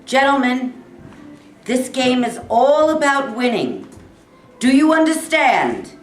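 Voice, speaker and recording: Woman acting a slow, emphatic football coach. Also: sits high, sing-song, faint music behind, heavily acted. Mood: stern, commanding. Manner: emphatic, commanding, rousing. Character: fiery middle-aged male high school football coach. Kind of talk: staged pep talk speech. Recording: echoey live room.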